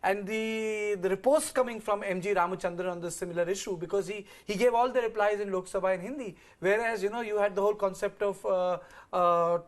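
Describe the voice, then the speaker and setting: A man's voice: high-pitched, a little fast. Professorial man. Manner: professorial, restarts, lists examples. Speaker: debate panelist. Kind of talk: TV debate argument.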